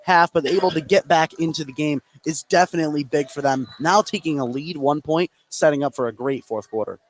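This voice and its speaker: A man's voice: fairly high pitch, a little fast. A man giving conversational basketball analysis as a color commentator during a live broadcast. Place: indoor school basketball gym.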